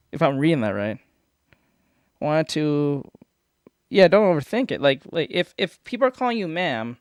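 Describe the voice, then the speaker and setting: A man's voice: fairly high pitch, clear, resonant tone, dry recording, clean studio sound. A man streaming casual reaction commentary, rambling and opinionated with lots of swearing and restarts. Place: foam-treated home studio. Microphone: studio microphone.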